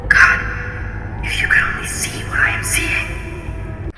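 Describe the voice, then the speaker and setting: A man's voice: slow pace, echoey room, over loud music, close to an everyday voice. A man voicing a doomed, terrified man in a horror story, urgently pleading in a shaky, strained voice. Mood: horrified despair. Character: terrified doomed man crying warnings from a tomb.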